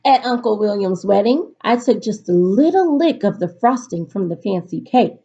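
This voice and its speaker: Female teacher, read-aloud storytelling style. Animated, expressive intonation, medium pitch, muffled lo-fi sound. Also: normal speed, husky. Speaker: teacher reading aloud to students. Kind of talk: picture-book read-aloud for a virtual class. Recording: dull, lo-fi recording.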